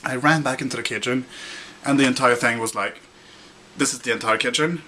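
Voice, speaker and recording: A man's voice: mid-range voice, fairly quick, sharp tone. A young man from Norway with a Norwegian accent, telling a rambling story in a casual vlog, full of fillers, restarts and chuckles. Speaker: vlogger.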